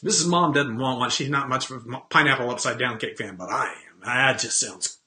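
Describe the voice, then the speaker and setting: A man in his fifties hosting a casual, rambling webcam chat from his home office, often clearing his throat. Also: mid-range voice, fairly quick, noisy background. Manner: chatty, rambling, self-deprecating, frequent throat clearing. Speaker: host. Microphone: webcam at desk.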